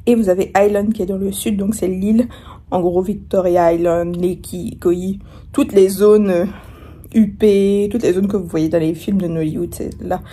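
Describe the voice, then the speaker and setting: A woman's voice: medium pitch, fairly even intonation, moderate pace, mellow, slightly rough. A young woman vlogging casually from her hotel room, chatty and rambling, with a sore throat and a starting cold. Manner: chatty, rambling, many fillers and restarts. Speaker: travel vlogger. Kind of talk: casual vlog chat to camera.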